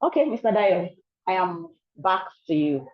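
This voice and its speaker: A woman's voice: on the low side, lively intonation, normal speed. Nigerian woman moderating, muffled audio. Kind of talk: webinar moderation. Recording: dull lo-fi audio.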